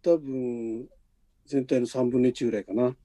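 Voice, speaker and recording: A man's voice: medium pitch, steady tone, measured pace, mellow. A man speaking casually as a podcast guest, agreeable and inclined to explain things. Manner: agreeable, explanatory, often affirming. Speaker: podcast guest, radio drama audio editor. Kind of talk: casual chat.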